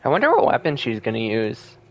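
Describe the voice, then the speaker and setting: Low-voiced woman streamer chatting casually. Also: huge pitch swings, fairly quick, gravelly, lo-fi sound, crowd noise. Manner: informal, chatting to viewers. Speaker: game streamer. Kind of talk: casual livestream reaction commentary. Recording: game music and dialogue behind.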